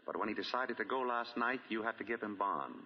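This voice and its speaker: An actor playing a shrewd, composed amateur detective in 1940s radio drama style, with probing, deliberate questioning. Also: male voice, lowish voice, big-room sound, a little hiss or hum, close to an everyday voice. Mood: grave, accusing. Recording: dull, lo-fi 1940 radio broadcast.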